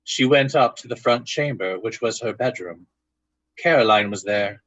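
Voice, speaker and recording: A man's voice: on the low side, normal speed, fairly bright tone, no echo, highs cut off. A man giving dramatic scripted narration in the style of an old-time radio drama.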